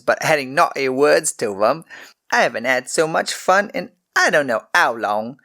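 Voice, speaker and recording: A man's voice: vocal fry, bright, forceful tone, heavily acted. A man voicing a timid, poor ten-year-old scullery girl who stammers and pleads in a raised voice. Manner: stammering, pleading, high-pitched.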